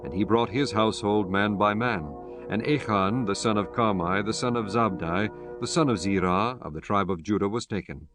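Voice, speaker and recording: A man reading scripture as the narrator of a dramatized audiobook, in a solemn, measured manner. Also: on the low side. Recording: music behind the voice.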